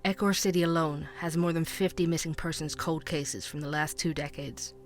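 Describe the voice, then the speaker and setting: A man playing a dogged young true-crime podcast host, narrating in a measured, earnest way over soft music. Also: on the high side, steady tone, lightly acted. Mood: ominous. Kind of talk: fiction podcast drama.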